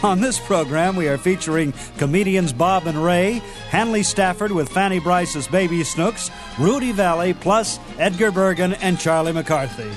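A fairly high male voice with clear music behind it, reading scripted narration as a radio host. He introduces and links segments in announcer fashion. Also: moderate pace. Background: loud music.

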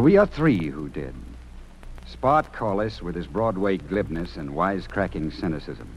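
A man's voice: on the low side, full character voice. A man playing an obnoxiously egotistical, sadistic radio executive narrating a melodramatic old-time radio drama. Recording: noisy old radio recording.

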